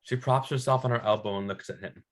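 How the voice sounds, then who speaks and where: A man's voice: medium pitch, steady tone, fast talker. A man reading screenplay stage directions as narrator at a table read.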